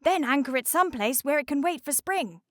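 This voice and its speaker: A woman's voice: sits high, expressive, a little fast, fairly bright tone, dry recording, clean studio sound, pitched above the performer's own voice. A woman voicing a practical, cooperative icebreaker ship in a children's storybook. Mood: practical, keen.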